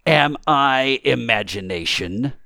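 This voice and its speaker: A man's voice: mid-range voice, animated, slow pace, gravelly, bright, forceful tone, no room sound, studio quality, pitched above the performer's own voice, exaggerated voice. A man voicing an impatient invisible man in a storybook, commanding and menacing, with an emphatic, controlled manner. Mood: threatening. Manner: insistent, emphatic, self-controlled. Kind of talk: acted audiobook storytelling.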